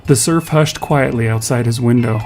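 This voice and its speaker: A man's voice: medium pitch, moderate pace, a little mellow, faint music behind. A man reading scripted narration for an audio fiction story.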